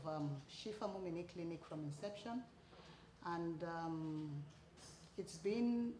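A woman's voice: lowish voice, a little mellow. A woman giving an unscripted personal testimonial as a patient. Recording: roomy echo, faint background noise.

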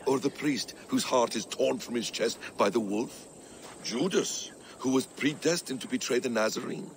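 An actor playing a shrewd, brooding warrior-scholar in a video game, speaking in a measured, sardonic, probing way. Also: male voice, mid-range voice, creaky, a little hiss or hum, lightly acted. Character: wry, shrewd, brooding male warrior-scholar, a sly schemer. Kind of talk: video game cutscene acting.

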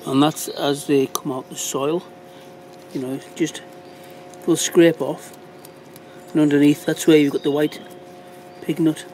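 A man's voice: on the high side, little pitch movement, unhurried, long pauses, a little mellow, slight background noise. A middle-aged man talking casually outdoors in woodland, pointing things out as he digs, filmed on a handheld phone. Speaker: forager. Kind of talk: casual walk-and-talk foraging demo.

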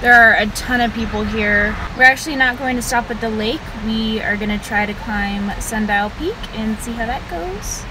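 High-voiced girl in her 20s chatting to her phone on a windy mountain hike, lots of ums, loud wind noise. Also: moderate pace, few pauses, distant mic. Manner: relaxed, chatty, with fillers. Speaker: vlogger. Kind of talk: casual vlog narration. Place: in a car, then outdoors on a windy mountain ridge. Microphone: phone camera at arm's length. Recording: outdoor wind noise, voice a bit off-mic.

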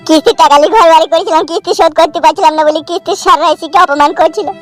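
A hapless grown son in a cartoon melodrama, played by a man, begs with remorse. He speaks very fast in a high voice over faint music. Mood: remorseful, pleading. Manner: melodramatic, pleading. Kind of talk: melodramatic comedy cartoon dialogue.

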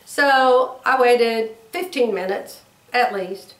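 An older woman with a drawl, hoarse, telling stories and chatting casually to camera as a sewing vlogger. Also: a little high, takes it slowly, distant mic. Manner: chatty storytelling, acts out quoted voices. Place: bedroom sewing room, indoors. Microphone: camera across the room. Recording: roomy, from off mic.

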